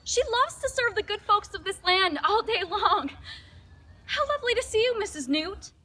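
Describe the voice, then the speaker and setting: Woman acting a very high, bright, defiant potion maker. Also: lively intonation, normal speed, full character voice. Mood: mocking.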